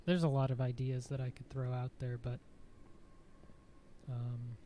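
A man streaming a game, chatting casually in a dry, monotone voice, rambling with fillers and self-corrections. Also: medium pitch, unhurried, slight background noise. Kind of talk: casual live-stream chat.

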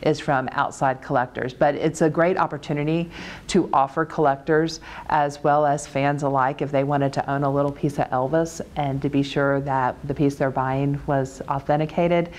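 A woman in her thirties presenting items for an auction in a measured, informative way, with occasional fillers. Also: deep voice. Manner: steady, measured, lightly hesitant with fillers. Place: conference room.

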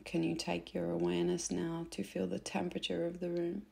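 A woman guiding a meditation in a soft, gentle, unhurried way, with phrase endings that turn breathy. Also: on the low side, monotone. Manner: gentle, unhurried, instructing softly with pauses. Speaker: meditation guide. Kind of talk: soothing guided meditation narration.

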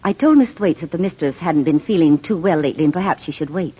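An actress playing a deferential, careful housekeeper in 1940s radio drama style. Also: female voice, low-pitched, fast talker, dark tone, slightly rough. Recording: dull vintage radio recording.

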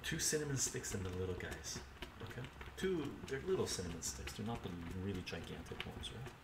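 A man's voice: fairly low pitch, sing-song, fairly quick, vocal fry. Older man chatting while he cooks. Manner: chatty and easygoing, narrating his steps aloud. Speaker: cooking live streamer. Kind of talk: casual chat while cooking, reading chat. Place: home kitchen, indoors. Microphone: camera mic some distance away. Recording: roomy, distant, noisy kitchen stream audio.

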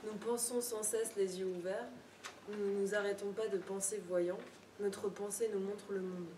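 A woman's voice: medium pitch, steady tone, moderate pace, dark tone. A young woman student puts a question to a guest at a bookshop talk, part read aloud and part groping with fillers. She sounds distant from the mic in an echoey room with background noise. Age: young adult. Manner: reads and paraphrases, then falters on fillers. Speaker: student interviewer. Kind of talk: asking a prepared question. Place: bookshop event room, indoors. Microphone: table mic on a stand.

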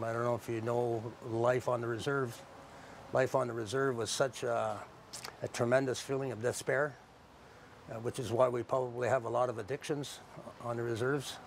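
A middle-aged man explaining his craft informally, in a plain-spoken, halting, reflective way, as a traditional builder. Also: mid-range voice, gravelly, slight background noise. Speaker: traditional canoe builder and knowledge keeper. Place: outdoors under a park tent.

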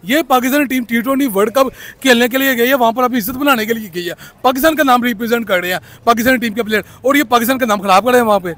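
Fast, heated young man on the street. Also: very high-pitched, a bit bright. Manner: heated, rapid ranting. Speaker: interviewee. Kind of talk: street vox pop. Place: city street at night, outdoors. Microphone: handheld mic.

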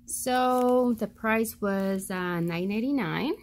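A woman's voice: medium pitch, takes it slowly. A woman casually showing items for a vlog, talking in a hesitant, rambling way in a carpeted room at home. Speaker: shopping haul vlogger. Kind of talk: casual narrated show-and-tell.

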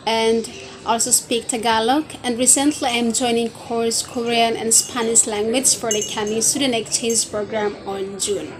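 A woman's voice: fairly high pitch, fairly even intonation, normal speed, rough recording. Young Indonesian woman, rehearsed and careful. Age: 20s. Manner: careful, list-like, rehearsed delivery. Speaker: job-seeking student. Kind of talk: recited self-presentation for a video CV. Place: open-air wooden pavilion among trees. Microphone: lapel mic.

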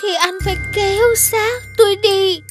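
A woman's voice: very high voice, steady tone, unhurried. A woman voicing a sly, whiny female fox who bickers and complains dramatically in a children's cartoon. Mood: exasperated, coaxing. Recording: music behind the voice.

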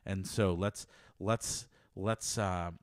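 A man with a very deep, low voice talks earnestly into a handheld mic held close to his mouth. He rambles a little, repeats himself and restarts sentences as he shares his thoughts. The sound is dry and close, with almost no room tone. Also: steady tone, takes it slowly, no echo. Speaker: podcast host. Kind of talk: personal faith podcast monologue. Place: small home office. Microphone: handheld dynamic mic close to mouth.

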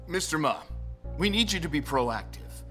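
A man playing an officious, quietly threatening policeman in a dubbed stage drama. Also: a little high, lively intonation, moderate pace, faint music behind. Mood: veiled threat.

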